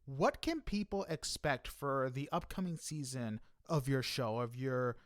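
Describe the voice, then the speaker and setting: A man's voice: medium pitch, lively intonation, a little slow, no echo, clean studio sound. A man interviewing a guest in a casual chat, feeling his way through his questions appreciatively. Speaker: podcast interviewer.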